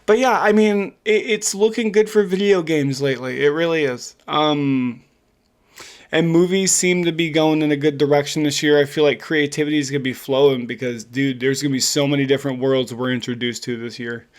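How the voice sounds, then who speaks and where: Male podcast co-host, somewhat higher-pitched, casual, slangy, emphatic and excitable. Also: a little fast. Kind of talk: casual chat about video games and films.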